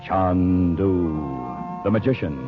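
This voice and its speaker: A man announcing on 1940s radio, delivering a sponsor pitch in a polished, coaxing, rhetorical manner. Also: takes it slowly. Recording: dull old radio recording. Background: music.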